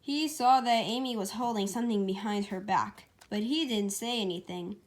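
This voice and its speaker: A woman's voice: mid-range voice, moderate pace. A woman reading a story aloud as its amateur author, in an informal way, occasionally stumbling over the text. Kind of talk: casual storybook read-aloud.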